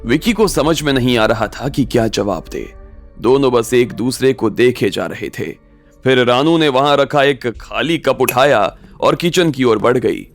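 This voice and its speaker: A man's voice: medium pitch, expressive, a little slow. A man narrating a scripted audio story as its storyteller. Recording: music bed behind the voice.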